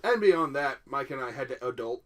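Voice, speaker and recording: A man's voice: mid-range voice, lively intonation, a little fast. A young man co-hosting a casual podcast, joking around and swearing freely. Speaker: podcast co-host. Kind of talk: casual chat.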